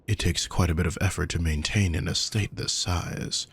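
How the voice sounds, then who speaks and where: A man playing a possessive, menacing aristocratic villain in a roleplay audio, with a husky, gravelly voice and a condescending, sardonic manner, speaking intimately to the listener. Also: sits low, steady tone, normal speed, fairly bright tone, exaggerated voice. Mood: coldly sardonic. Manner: smooth-worded, condescending, darkly sardonic.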